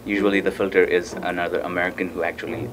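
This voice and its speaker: A man's voice: low voice, level tone, fast, background noise. A young man from Nepal with a Nepali accent, speaking informally in a panel discussion. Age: young adult. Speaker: student on a panel. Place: conference room, indoors.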